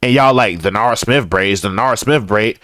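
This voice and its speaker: A man's voice: fairly low pitch, a little fast, a bit bright, slight background noise. An American man giving casual, slangy reaction commentary as a co-host, sounding mocking and exasperated.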